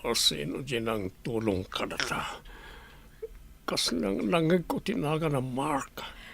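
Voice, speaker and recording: Man acting a husky, gravelly, ailing husband. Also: a little high, very animated, takes it slowly, fairly bright tone, full character voice. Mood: weak, doubtful. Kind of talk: comedy radio drama acting.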